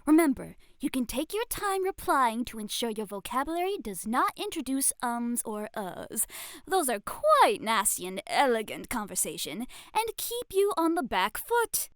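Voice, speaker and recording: A woman's voice: a little high, big pitch swings, normal speed, a bit bright, dry recording, studio quality. A woman playing a playful, prim lady etiquette teacher in a scripted ASMR roleplay, with a genteel, theatrical delivery. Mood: prim instruction. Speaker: guest roleplay voice performer.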